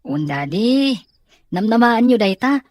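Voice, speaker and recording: A woman's voice: fairly high pitch, big pitch swings, unhurried, darkish tone, no room sound, studio quality. A woman with an Ilocano accent playing a dutiful, reassuring daughter in a comedy-drama podcast. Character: dutiful, reassuring grown child of the father.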